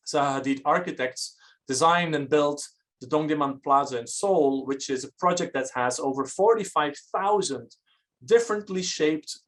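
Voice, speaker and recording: A man from Belgium, giving an academic architecture lecture in a measured, explanatory manner. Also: fairly high pitch, fairly bright tone.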